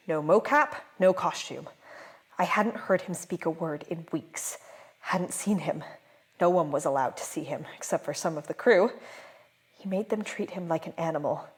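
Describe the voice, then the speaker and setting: A woman playing a guilt-ridden film director giving halting, uneasy testimony in an audio drama interview. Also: medium pitch, darkish tone, a little hiss or hum, natural voice. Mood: shaken, subdued. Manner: halting, reflective, uneasy confessional delivery. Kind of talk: dramatic monologue as documentary interview.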